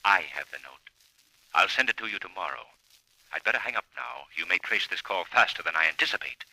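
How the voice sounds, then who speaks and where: An actor playing a mysterious, mocking crime-fighter, composed and deliberate, in an old radio drama. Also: male voice, sits low, animated, sharp tone, full character voice, rough recording. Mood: cool, taunting. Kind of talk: 1940s radio drama acting.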